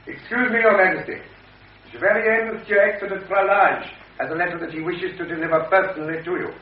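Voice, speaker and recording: An actor playing a brisk, respectful royal courtier in a vintage radio drama. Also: male voice, high-pitched, fairly even intonation, lightly acted. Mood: formal. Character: brisk, respectful courtier announcing letters to king. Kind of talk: 1950s British radio drama acting. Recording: echoey muffled noisy radio recording.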